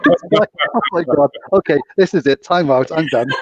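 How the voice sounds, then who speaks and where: A Welsh man in his forties, hosting a casual podcast chat and telling stories in an animated, exclaiming way. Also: fairly high pitch, normal speed. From Wales. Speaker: podcast host, casino dealer. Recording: dull, dry lo-fi sound.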